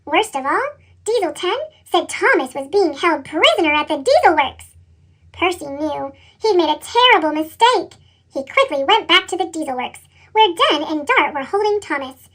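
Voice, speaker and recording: A woman's voice: very high-pitched, animated, moderate pace, a bit bright. A woman reads a children's story expressively, her voice altered with a sped-up chipmunk effect. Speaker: storybook reader.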